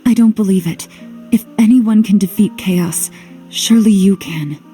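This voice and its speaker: An actress playing a wistful, guarded witch in a video game, with a soft, measured, melancholy delivery. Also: medium pitch, faint music behind, close to an everyday voice. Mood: anxious, sad. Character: wistful, guarded witch haunted by lost love. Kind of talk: dramatic video game voice acting.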